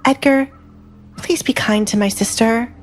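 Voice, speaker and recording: A woman's voice: on the high side, measured pace, soft background music, pitched above the performer's own voice, close to an everyday voice. A woman voicing a poised young bride in an audio drama, composed and polite with a sting. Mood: sweetly pleading. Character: poised young masked bride, softly cutting.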